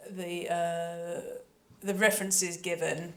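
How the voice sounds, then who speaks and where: A woman's voice: lowish voice, level tone, slow pace, a bit bright. A woman speaking on a panel as a composer and sound designer, chatty, hesitant and often interrupting herself. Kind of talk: panel talk about creative work.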